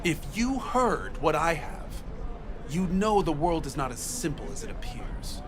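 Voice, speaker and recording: An actor playing a fanatical, paranoid street prophet in a video game, preaching conspiracy theories with theatrical, defiant grandeur. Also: male voice, on the high side, very animated, a little slow, noisy background. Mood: wounded, brooding.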